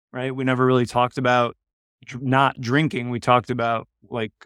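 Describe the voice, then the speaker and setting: A man whose first language was Polish, speaking as a doctor guest on a podcast and telling his story in a relaxed, candid way. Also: medium pitch, clear, resonant tone, no room sound, studio quality. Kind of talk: casual interview answers.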